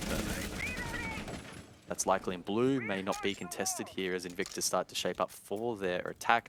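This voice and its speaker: A man's voice: low voice, fairly bright tone, a little hiss or hum. A man giving analytical live commentary on an esports match as a caster.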